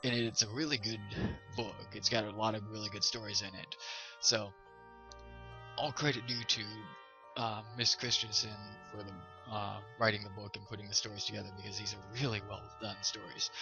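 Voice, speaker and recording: Gravelly male storyteller over music. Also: fairly low pitch, steady tone, normal speed, pushed and bright. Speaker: host and story reader. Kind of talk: scripted storybook narration. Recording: slightly dull sound, music behind.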